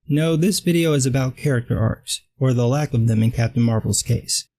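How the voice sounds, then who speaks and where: A man's voice: medium pitch, dark tone, rough recording. A man with a dry voice delivering a sarcastic, blunt scripted film commentary. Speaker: film critic vlogger.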